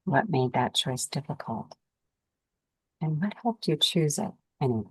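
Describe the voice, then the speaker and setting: A woman with a very deep voice guides a meditation. She speaks calmly and soothingly, offering gentle instructions in unhurried phrases with space between them. The sound is dry and close, with little room around it. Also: husky, no room sound.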